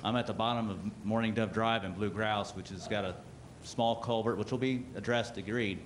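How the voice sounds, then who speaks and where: Blunt low-voiced man. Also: fairly even intonation, normal speed. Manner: blunt, frustrated questioning. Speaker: resident at public meeting. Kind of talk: public comment at a meeting.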